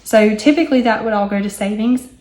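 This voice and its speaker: Hoarse chatty young woman, echoey room. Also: medium pitch, fast, loud music behind the voice. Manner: chatty with many ums and asides. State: hoarse, recovering lost voice. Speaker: budgeting vlogger. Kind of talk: casual chat while working on paper.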